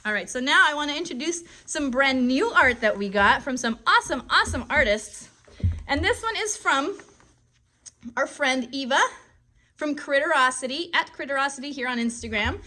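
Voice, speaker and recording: A woman's voice: sits high, expressive, moderate pace, sharp tone. A woman hosting a casual live puppet show for children in a friendly, encouraging, upbeat way.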